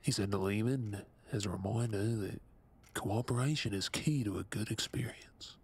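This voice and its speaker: An actor playing a guilt-ridden male lab assistant in an audio drama, halting and subdued, with a husky voice. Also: on the low side. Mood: haunted confession. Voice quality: husky, breathy.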